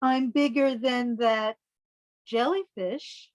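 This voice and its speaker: A big, boastful giant squid from a children's storybook, as played by a woman reading aloud. It brags in slow, drawn-out statements, pitched fairly high. The sound is close and dry. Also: steady tone, dry recording. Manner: slow, bragging delivery. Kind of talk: children's picture-book read-aloud.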